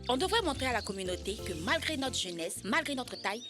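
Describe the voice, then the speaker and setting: A woman's voice: a little high, lively intonation, fast talker, lightly acted. A woman voicing a determined young schoolgirl in an educational cartoon, decisive and rallying. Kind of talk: dubbed educational cartoon acting. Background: music.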